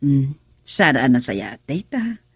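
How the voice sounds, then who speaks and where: Husky older Ilocano mother. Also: very deep voice, sing-song, takes it slowly, no echo, clean studio sound, heavily acted. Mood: reproving. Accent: Filipino, Ilocano. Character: older mother, reproving her daughter, defending son-in-law. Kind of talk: Ilocano radio drama acting.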